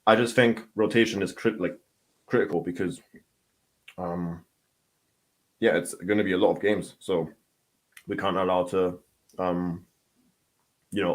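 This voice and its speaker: A man's voice: deep voice, steady tone, moderate pace, pauses often, rough recording. A man co-hosting a podcast, talking football in a rambling, hesitant way with many ums. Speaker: podcast co-host. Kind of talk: casual football chat.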